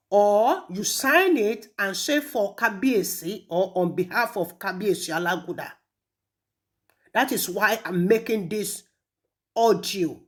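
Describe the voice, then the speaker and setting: A woman with a Nigerian accent delivering a stern, deliberate admonishing message, repeating phrases for rhetorical weight. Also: medium pitch. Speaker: speaker addressing a traditional ruler in a recorded message. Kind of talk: admonishing recorded audio message.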